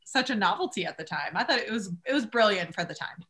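Woman on a podcast, bright edgy tone, chatty and loose. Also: mid-range voice, lively intonation, fast talker. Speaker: podcast co-host. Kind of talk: casual chat.

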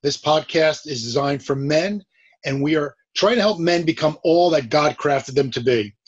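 Male podcast host, higher-pitched husky voice, conversational interviewing, dull lo-fi sound. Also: moderate pace.

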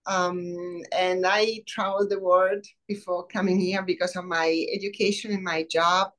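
A middle-aged woman from Rome with an Italian accent, a professor speaking in a casual interview, thoughtful with many pauses. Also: lowish voice, little pitch movement. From Rome, Italy. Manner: warm, thoughtful, many "um" pauses, laughs easily. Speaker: biology professor, guest.

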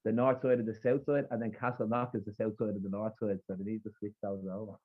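A young man with a rough north Dublin accent talks quickly and casually, wandering through his thoughts with fillers and restarts. His voice is husky, and it comes through a thin, phone-like line. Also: lowish voice, level tone. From Dublin, Ireland. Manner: rambling, lots of fillers and restarts. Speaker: podcast guest, spoken word poet. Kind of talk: casual interview chat. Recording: husky voice over a tinny remote line.

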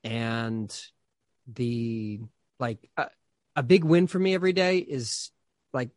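A man's voice: mid-range voice, expressive, slow, a little mellow, no echo, clean studio sound. Guy in his 30s chatting in an interview, rambly, lots of likes and you knows, mid voice. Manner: chatty, rambling with many fillers and restarts. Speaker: pastor and author. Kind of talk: casual interview conversation.

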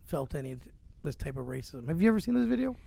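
A man hosts a casual interview in a fairly high, gravelly voice. His sound is dry and close. He chips in short agreements and loose questions. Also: very animated, quick pace, warm tone, no echo. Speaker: host.

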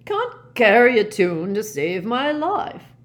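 A woman voicing a tearful, tipsy, frightened old male professor in a storybook, halting and genteel. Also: on the high side, big pitch swings, pitched above the performer's own voice. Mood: tipsy, awkward.

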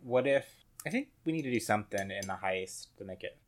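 A man co-hosting a podcast in casual comedic chat, halting and brainstorming with many fillers. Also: fairly low pitch, animated. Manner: halting, lots of ums and likes, brainstorming. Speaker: podcast co-host.

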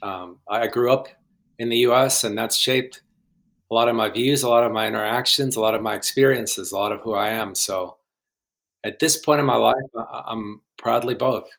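A young man from Guatemala, a filmmaker guest on a podcast, talking in a halting, hesitant way with many restarts. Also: medium pitch, creaky, a bit bright, poor audio. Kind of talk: casual podcast interview.

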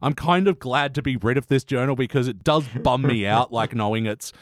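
A man's voice: mid-range voice, a little fast, dry recording, clean studio sound. A man hosting a podcast in casual chat, speaking informally and swearing freely. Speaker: podcast host.

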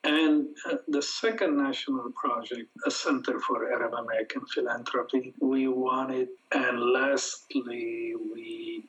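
A man from Lebanon answering interview questions in a measured, formal way with some hesitation fillers. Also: mid-range voice, steady tone, fairly bright tone. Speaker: guest, nonprofit executive director. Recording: dull lo-fi audio.

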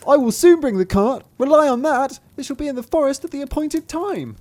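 A man's voice: extremely high pitch, animated, brisk, darkish tone, pitched above the performer's own voice. A man voicing a tiny, cheeky, eager boy from a fairy tale, with a whiny, piping delivery.

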